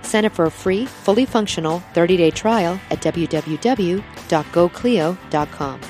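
Female ad announcer, lowish voice, polished and persuasive, light music behind. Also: normal speed, darkish tone. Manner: smooth, persuasive. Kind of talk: scripted ad read.

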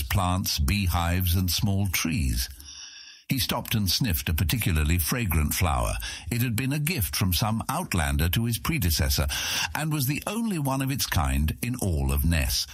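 A man reads scripted fiction in a very low voice with a husky grain. His intonation moves freely as he tells the story, and the sound is close and dry. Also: no echo. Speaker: audiobook narrator.

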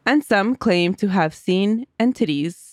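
Casting: chatty, giggly woman co-host, close dry mic, mid pitch. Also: animated, unhurried, dry recording. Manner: giggly, chatty, reads aloud with asides. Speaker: podcast co-host. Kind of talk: casual chat and reading listener stories.

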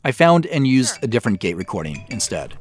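Male creator, director's commentary, explanatory, animated melody, average pitch. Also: a little fast, faint music behind.

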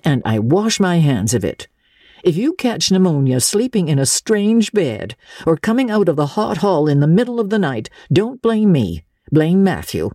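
Storybook role: woman as tart older farm woman, husky, scolding, swooping with disapproval. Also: low-pitched, warm tone, no room sound, clean studio sound, pitched above the performer's own voice, heavily acted. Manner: clipped, scolding, no-nonsense.

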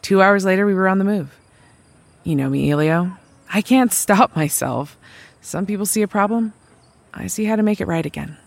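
A woman's voice: medium pitch, normal speed, gravelly, dry recording. A woman playing a resourceful drifter mechanic, telling of travels in a naturalistic audio drama, conversational and wry. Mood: upbeat, candid.

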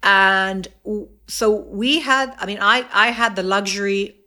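A woman's voice: mid-range voice, steady tone, a little slow, bright, forceful tone. A middle-aged woman from Egypt, raised abroad, speaking as a podcast guest. She talks reflectively, with many fillers and restarts. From Egypt, raised in the US, Saudi Arabia and England. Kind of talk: reflective interview conversation.

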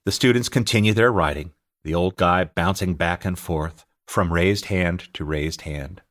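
A middle-aged American man reading his own audiobook as an author and teacher, telling anecdotes in an expressive, scripted style. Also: low-pitched, unhurried. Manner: expressive, anecdotal storytelling, acting out dialogue. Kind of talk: scripted narration, audiobook memoir.

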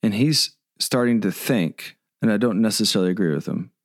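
A man's voice: fairly low pitch, animated, fairly quick, gravelly, no echo, clean studio sound. A man co-hosting a podcast, talking casually in a blunt, opinionated way. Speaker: podcast co-host. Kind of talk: casual conversational podcast discussion.

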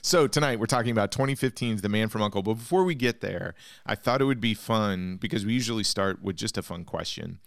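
A man's voice: lowish voice, animated, fast talker, gravelly, few pauses. A man hosting a film podcast, enthusiastic and a little rambling as he introduces topics and asks questions. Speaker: podcast host.

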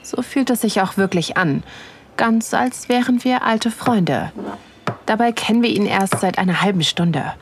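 A woman playing an excited, aroused wife who narrates an erotic audio drama in an intimate, breathy manner. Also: mid-range voice, measured pace, a little hiss or hum, lightly acted. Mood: confiding, anticipating.

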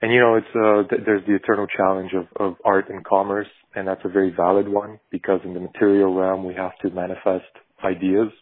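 A man's voice: deep voice, flat delivery, fairly quick, husky. A man from Bulgaria, a podcast guest who works in animation, talks in a thoughtful, searching way with many restarts. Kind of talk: reflective conversational interview. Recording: tinny phone-like remote call.

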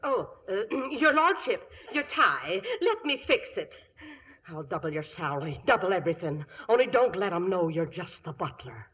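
An actress playing a bossy, folksy, social-climbing American small-town matron in a 1950s radio comedy, gushing and ordering people about. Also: female voice, on the low side, big pitch swings, normal speed, fairly bright tone, lo-fi sound. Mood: flustered scheming.

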